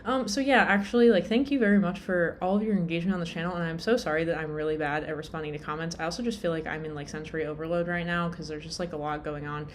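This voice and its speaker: A woman's voice: low voice, quick pace, few pauses, slight background noise. A young adult woman vlogging in a casual, rambling way, full of fillers and self-deprecating asides, sounding tired.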